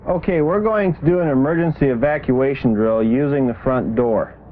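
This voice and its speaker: A man's voice: medium pitch, normal speed. A man acting as school bus driver, giving step-by-step drill instructions on an old training film. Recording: tinny, noisy 1970s film location sound.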